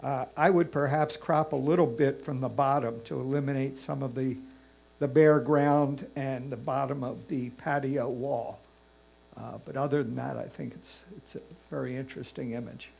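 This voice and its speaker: A man's voice: mid-range voice, fairly even intonation, moderate pace, creaky, a little mellow, poor audio. A man judging photographs, speaking in a hesitant, mild and considered way as he critiques an image. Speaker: photo competition judge. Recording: faint mains hum.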